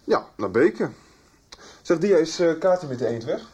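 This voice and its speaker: Curious young male friend, husky and animated, radio soap. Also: on the high side, normal speed. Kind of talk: 1990s radio soap acting.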